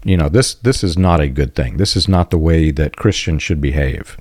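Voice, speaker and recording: A very low-pitched man hosts a podcast in a casual, reflective tone, pausing to clear his throat now and then. The recording is dry and close, with no room sound around him. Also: darkish tone, dry recording. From United States. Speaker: podcast host.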